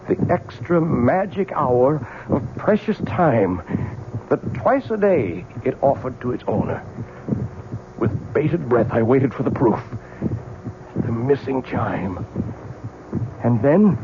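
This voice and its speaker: Casting: older excitable clockmaker, haunted radio-play storyteller, highly animated delivery, muffled vintage recording with music. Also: male voice, noisy background. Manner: theatrical, literary, suspenseful narration. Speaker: narrator and lead character. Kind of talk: old-time radio horror acting.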